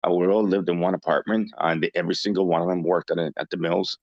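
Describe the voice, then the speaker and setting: Deep-voiced man in his fifties. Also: steady tone, fast talker, no room sound, dull audio. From Dominican Republic, raised in Massachusetts from age eight. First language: Spanish. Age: 50s. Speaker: interview guest. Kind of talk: conversational interview answers, personal reminiscence.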